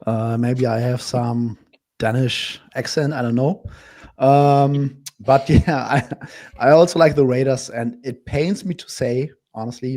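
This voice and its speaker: A man analyzing football bets in a casual discussion, speaking in a measured way with hesitant fillers. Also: medium pitch, mellow. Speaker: betting analyst. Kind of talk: casual sports betting discussion.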